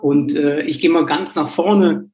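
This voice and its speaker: A man hosting a casual interview show, speaking in halting, rambling phrases. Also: a little high, steady tone, a little mellow, reverberant, dull audio.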